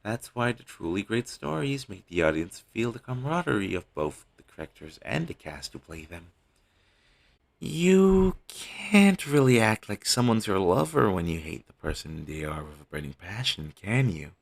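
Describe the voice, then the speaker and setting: Man playing a caring male manager, lowish husky voice, lively intonation, gently teasing, coaxing, intimate audio roleplay. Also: normal speed, exaggerated voice. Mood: teasing, probing. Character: caring, teasing male talent manager soothing a troubled actor. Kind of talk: scripted audio roleplay, one-sided intimate conversation. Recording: low-quality amateur recording.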